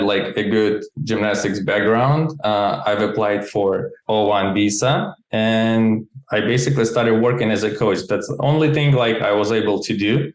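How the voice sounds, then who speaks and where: Casting: male interview guest from Ukraine, Eastern European accent, non-native English, lowish voice, informal and chatty, roomy echo. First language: not English. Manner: informal, peppers speech with "like". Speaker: interview guest, gymnastics coach and QA engineer. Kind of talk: casual conversational interview. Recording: roomy, echoey sound.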